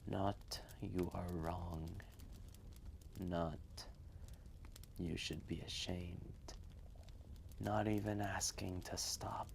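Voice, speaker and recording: Man voicing an ancient dragon, very gravelly and slow. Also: deep voice, steady tone, slight background noise, full character voice. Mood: reflective, solemn. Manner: hushed, breathy, measured, with long pauses. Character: ancient wise dragon, gentle, reflective, fatherly. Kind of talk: slow intimate storytelling roleplay, ASMR-like.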